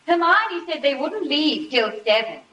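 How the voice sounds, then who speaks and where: A woman's voice: sits high, big pitch swings, unhurried, bright, forceful tone, full character voice. An actress playing a fussy, anxious, fluttery woman visiting friends in an old-time radio drama. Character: fussy, anxious lady neighbor calling on friends. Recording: distant voice on old radio recording.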